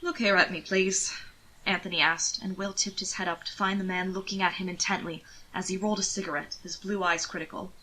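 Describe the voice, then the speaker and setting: A woman's voice: on the low side, flat delivery, fast talker, few pauses, sharp tone, poor audio. A woman reading scripted fiction expressively as an audiobook narrator.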